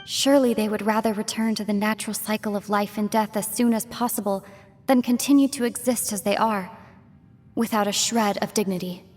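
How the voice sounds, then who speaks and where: A woman playing a resolute, regal young commander who speaks formally and gravely in a dramatic video game. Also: medium pitch, steady tone, brisk, a little mellow, natural voice. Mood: solemn resolve. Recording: long reverb, music behind.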